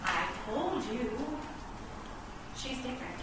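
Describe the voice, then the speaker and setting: A yearning chess piece come to life, acted by a woman, earnest and theatrical. The voice is fairly high, in comic radio play style. The recording is distant and echoey, with loud noise. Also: big pitch swings, slow. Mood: concerned. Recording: very echoey, distant, very noisy room.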